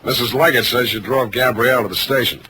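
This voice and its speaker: An actor playing a wisecracking, hardboiled private detective, dry and sardonic, in 1940s radio style. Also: male voice, medium pitch, quick pace, background noise, lightly acted. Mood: dry, wry. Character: wisecracking, hardboiled male private detective narrating his case.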